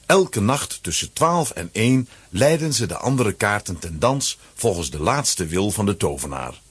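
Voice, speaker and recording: A man narrates a children's story from a script. His voice is fairly low and very husky, with lively melody. The recording is dry. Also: dry recording.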